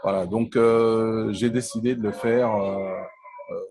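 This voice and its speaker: A man's voice: fairly low pitch, fairly even intonation, measured pace, a little mellow, slight background noise. A man from Congo in his forties, answering interview questions in a hesitant way, with frequent fillers and restarts. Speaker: interview guest, telecom entrepreneur.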